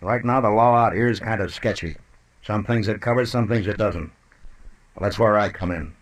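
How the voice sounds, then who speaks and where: An actor playing a tough, coolly menacing western marshal in an old-time radio drama, laconic, sardonic and commanding. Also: male voice, fairly low pitch, normal speed, natural voice.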